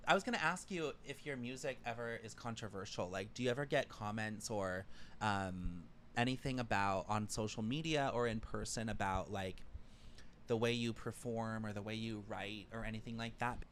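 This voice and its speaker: A man's voice: on the low side, a bit bright, slight background noise. Eager talkative podcast host, man. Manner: eager, talkative, lots of "yeah" and "like". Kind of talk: casual interview chat.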